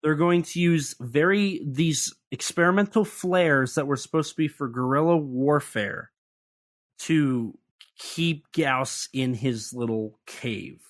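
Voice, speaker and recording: A man's voice: a little high, takes it slowly. A man co-hosting a podcast, talking through a monster film in a chatty, analytical way with light sarcasm. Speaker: podcast co-host. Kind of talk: casual film discussion.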